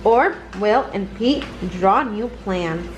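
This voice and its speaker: A woman reading a children's picture book aloud in a deliberate, expressive storytelling manner. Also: mid-range voice, background noise. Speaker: storybook reader.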